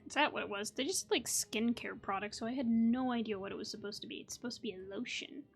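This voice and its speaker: High-voiced woman casually narrating her gameplay. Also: fast, gravelly. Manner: chatty, playful asides. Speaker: gaming streamer. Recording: game music behind.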